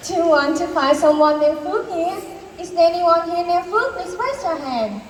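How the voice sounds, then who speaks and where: High-voiced Vietnamese woman hosting. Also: expressive, moderate pace. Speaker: talk show host in a school performance. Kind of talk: school stage role-play in English. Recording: echoey hall, distant mic, background noise.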